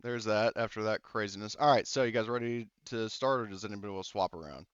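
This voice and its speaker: A man with a clean, smooth voice runs a tabletop game in casual talk. His pitch is average. The sound is dry and a little dull, like lo-fi voice chat. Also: quick pace, dry recording. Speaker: game master. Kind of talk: casual tabletop RPG refereeing. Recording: slightly dull, lo-fi voice-chat audio.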